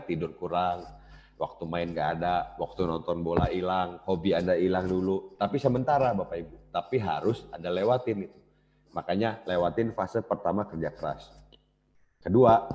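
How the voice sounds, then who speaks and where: Low-voiced man giving a business seminar. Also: mellow, slight background noise. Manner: casual, addresses audience directly. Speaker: business speaker. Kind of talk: motivational seminar talk. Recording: dull lo-fi cassette recording.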